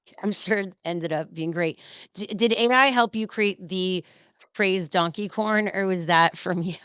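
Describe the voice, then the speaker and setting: A woman's voice: fairly low pitch, measured pace, fairly bright tone, smooth, no room sound. A woman hosting a casual interview, chatty and tripping over the starts of her sentences. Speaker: interview host.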